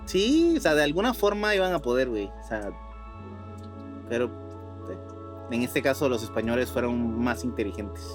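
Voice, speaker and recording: A man chats as a podcast co-host in an informal, slangy way. His voice sits at a middle pitch, with faint music behind it. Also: sing-song, normal speed, long pauses. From Puerto Rico. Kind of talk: casual chat.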